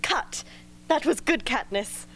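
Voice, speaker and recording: A woman acts a brisk director in a radio drama. The voice is high and lively, with music behind it. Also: exaggerated voice. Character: brisk female film director interviewing rebels. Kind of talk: amateur radio play acting.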